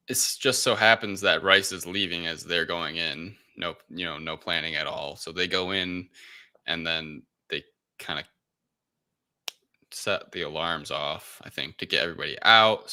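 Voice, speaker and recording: A man's voice: deep voice, pushed and bright. A man co-hosting a casual film discussion, recapping in a rambling, offhand and irreverent way. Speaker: podcast co-host recapping a film.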